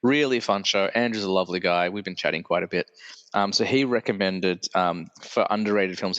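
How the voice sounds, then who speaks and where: A man's voice: on the low side, a bit bright, in a large hall, lo-fi sound. A male podcast host with a congested, nasal voice, chatting casually and correcting himself as he rambles on. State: congested from illness. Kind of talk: casual solo podcast chat.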